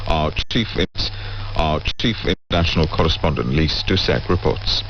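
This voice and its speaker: Very deep-voiced British male newsreader. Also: expressive, normal speed. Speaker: radio news presenter. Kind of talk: scripted news reading. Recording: dull, noisy shortwave radio relay.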